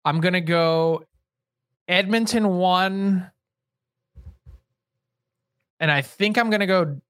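A man co-hosting a casual sports podcast, thinking out loud and weighing his picks. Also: high-pitched, measured pace, clean tone, no room sound, studio quality. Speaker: podcast co-host.

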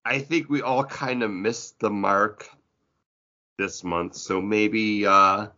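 A man chatting casually about comic books as a podcast host, with an animated, bantering way of talking. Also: fairly low pitch, takes it slowly, muffled recording. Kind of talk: casual comic book chat.

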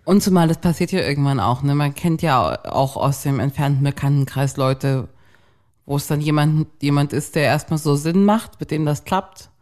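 A woman's voice: sits low, normal speed, a little mellow. A woman in her thirties co-hosting a casual podcast chat, blunt and outspoken, with casual swearing. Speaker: podcast co-host.

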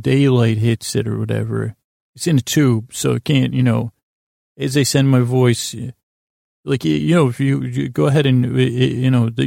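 A man with a creaky, gravelly voice at a middling pitch, recorded close and dry. He hosts a sleep podcast, meandering along with halting stammers, repeated false starts and a self-deprecating manner. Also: dark tone, no echo. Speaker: podcast host. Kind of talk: rambling sleep podcast monologue.

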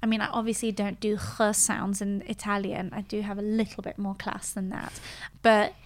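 A woman's voice: mid-range voice, level tone, normal speed. A young woman chatting casually and telling personal stories in a playful, candid way. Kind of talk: casual chat, personal anecdotes.

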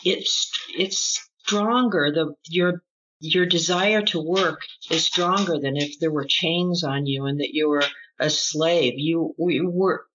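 A woman's voice: deep voice, slow, a bit bright. Dull lo-fi recording, earnest woman guest. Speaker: interview guest, former group member recounting experience. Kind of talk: reflective spoken testimony. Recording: dull lo-fi audio.

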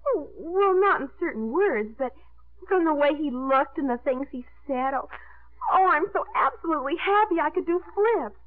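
A high, girlish voice a naive teenage daughter in love, gushing and earnest in a 1950s radio play. The sound is narrow and thin, like an old broadcast. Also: animated, normal speed. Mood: gushing, lovestruck. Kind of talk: 1950s radio comedy-drama acting. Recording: muffled narrow-band 1950s radio recording.

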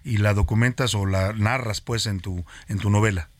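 A man's voice: fairly low pitch, fairly even intonation, normal speed, dry recording. A middle-aged Mexican man hosting a radio news interview, chatty with frequent restarts. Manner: conversational, frequent restarts and fillers.

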